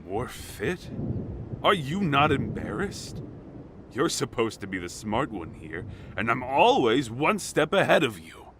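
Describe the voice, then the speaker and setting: A man's voice: medium pitch, sing-song, measured pace, slight background noise. A man playing a proud, scornful god-king warlord in a fantasy audio drama. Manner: arrogant, mocking, rising to shouts.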